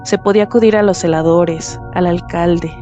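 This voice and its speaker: Woman, storyteller, lowish pitch, mellow tone, dramatized reading, faint music. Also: fairly even intonation, moderate pace, muffled recording. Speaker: narrator. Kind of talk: dramatized literary narration for radio. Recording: music underneath.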